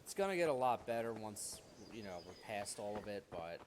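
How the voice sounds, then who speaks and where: Animated male gamer, casual commentary. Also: fairly low pitch, fairly quick, heavy background noise. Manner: joking, rambling. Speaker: gamer, let's play host.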